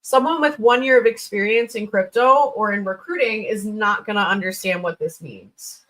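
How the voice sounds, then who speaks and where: A woman's voice: medium pitch, normal speed, a bit bright, poor audio. A woman hosting a livestream, giving informal, blunt feedback in a chatty, unscripted way and thinking aloud as she goes. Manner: informal, blunt, thinks aloud with little sing-song filler sounds. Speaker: livestream host reviewing resumes.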